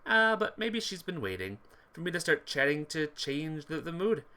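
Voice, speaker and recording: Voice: mid-range voice, sing-song, normal speed, pushed and bright. A streamer reading a visual novel aloud expressively, with casual asides to viewers. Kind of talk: game playthrough narration with chat asides.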